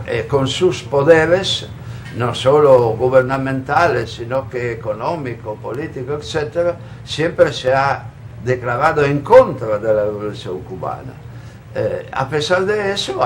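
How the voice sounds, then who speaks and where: An older man who grew up in Italy, talking earnestly and at length as a guest in a TV studio interview, pausing mid-phrase. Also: mid-range voice, a little hiss or hum. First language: Italian. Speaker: guest, solidarity activist. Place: local TV studio.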